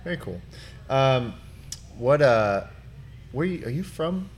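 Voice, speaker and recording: A man's voice: mid-range voice, big pitch swings, takes it slowly, a little hiss or hum. An American man from Cincinnati hosting a podcast, interviewing in a casual, conversational way. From Cincinnati, Ohio, United States. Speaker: podcast host.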